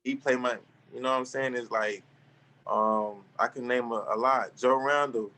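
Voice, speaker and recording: Laid-back bright-voiced young man. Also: medium pitch, fairly even intonation, normal speed, rough recording. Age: 20s. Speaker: guest musician. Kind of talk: casual interview talk.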